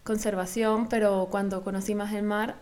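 Woman, Venezuelan accent, mid pitch, flat intonation, interview guest, casual explaining. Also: normal speed. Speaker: interview guest, co-founder of a volunteer project.

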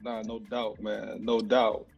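Man, podcast host, husky, slangy, informal, repeats phrases, lo-fi sound with faint music behind. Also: fairly low pitch, flat delivery, takes it slowly. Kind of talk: casual podcast chat. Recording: muffled lo-fi audio, faint music.

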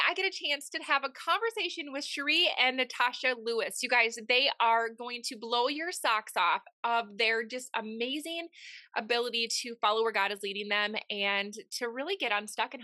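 A woman's voice: on the high side, bright, forceful tone, no room sound. A woman hosting a faith-based podcast, enthusiastic and fond of telling stories. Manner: enthusiastic, storytelling. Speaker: podcast host.

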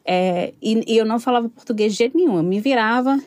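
A woman from Brazil in her thirties, telling her story in a casual interview, animated and laughing often. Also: a little high, fast, no echo.

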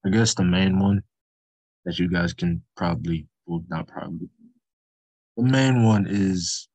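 A teenage boy with a very deep voice, chatting casually in a group about his hair and himself in a relaxed, rambling way. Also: measured pace, breathy edge, dry recording, low-quality recording. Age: teens. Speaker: student.

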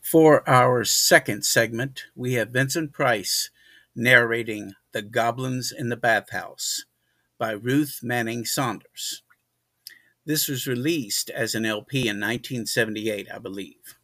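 A man's voice: mid-range voice, measured pace, pushed and bright, no echo. A man hosting a podcast, casually introducing a segment in a close, dry recording. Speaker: podcast host.

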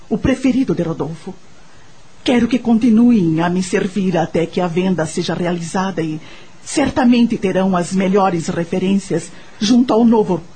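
An actress with a Brazilian accent playing a dignified, bitter, grieving mistress of a mansion in a radio drama, speaking formally in a husky voice. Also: female voice, fairly low pitch, warm tone. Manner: formal, measured, commanding. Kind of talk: Portuguese-language radio drama acting.

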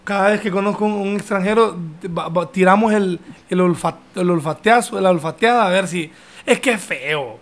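A young Honduran man with a fairly high, light voice talks in an easy, joking way. He hosts a relaxed conversation, chatting and teasing his guest. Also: normal speed. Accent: Honduran Spanish. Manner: joking, chatty, uses vos. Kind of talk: casual chat.

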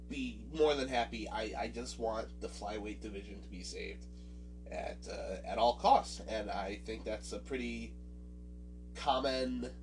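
A man's voice: mid-range voice, far from the mic, slight background noise, poor audio. A man hosting a podcast with a nasal voice and a swollen lip, talking casually and self-deprecatingly with many fillers and restarts. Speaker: podcast host. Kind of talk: casual solo podcast talk.

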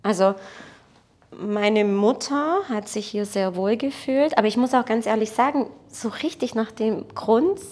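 A woman's voice: on the high side, unhurried, a little mellow. A woman in her thirties from Portugal, a native Portuguese speaker, chatting in a casual interview as a guest, talkative and self-mocking. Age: 30s. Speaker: podcast guest.

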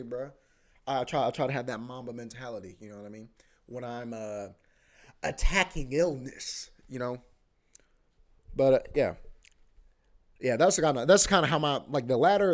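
A young man hosting a solo podcast, rambling in a laid-back slangy way and saying "bro" and "you know what I mean" all the time. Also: medium pitch. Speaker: podcast host; comedy show host.

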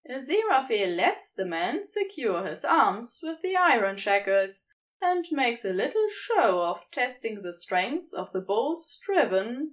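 A woman reading a scripted story aloud as narrator, with expressive, measured storytelling. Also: on the high side, recorded from a distance. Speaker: podfic reader.

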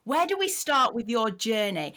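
A woman's voice: on the high side, very animated, normal speed, sharp tone. Chatty middle-aged woman podcast host. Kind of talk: casual podcast chat.

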